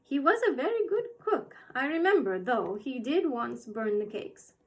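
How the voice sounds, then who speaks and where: A woman voicing a tiny, bossy old woman who runs a shop, with a shrill, squeaky voice that turns from scolding to sweetly coaxing. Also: sing-song, normal speed.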